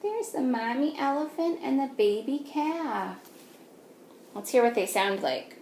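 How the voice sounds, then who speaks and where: Woman, children's teacher, sing-song storybook reading, unhurried, animated intonation, roomy sound with faint noise. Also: high-pitched. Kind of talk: picture-book read-aloud with simple questions to children. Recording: echoey room with faint noise.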